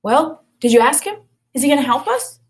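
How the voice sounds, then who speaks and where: A woman's voice: high voice, lively intonation, fairly quick, pitched above the performer's own voice. A woman voicing an earnest, reassuring young boy in an audiobook-style story, with an emphatic, childlike, know-it-all manner. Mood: anxious.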